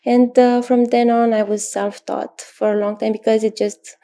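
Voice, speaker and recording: A woman in her thirties from Romania with a Romanian accent, an astrologer answering interview questions thoughtfully, with pauses and fillers. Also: fairly high pitch, little pitch movement. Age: 30s. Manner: thoughtful, many pauses and fillers, soft laughs. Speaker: astrologer guest.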